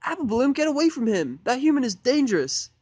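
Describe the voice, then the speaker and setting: Man playing a brash, high-pitched indignant young filly. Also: sing-song, brisk, no room sound, exaggerated voice. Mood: alarmed. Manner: indignant, accusing, loud. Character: brash, hostile, protective young female pony filly.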